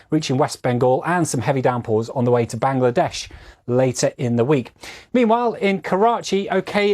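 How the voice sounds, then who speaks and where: A man presenting a weather forecast in a steady, explanatory way. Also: medium pitch.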